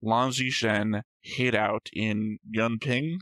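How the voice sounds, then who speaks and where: A man's voice: on the low side, fairly even intonation, slow, fairly bright tone, dry recording. A man co-hosting a reaction show, reasoning aloud in a casual, argumentative discussion of story theories. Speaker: co-host of an anime reaction show.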